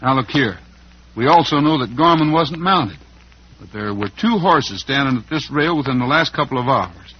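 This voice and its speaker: Old radio western cowboy hero, level headed and decent, bit husky, muffled sound. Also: male voice, mid-range voice, lively intonation, normal speed. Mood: determined, reasoning. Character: steady, fair-minded cowboy hero tracking down the truth. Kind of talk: old-time western radio drama acting. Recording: muffled vintage radio broadcast.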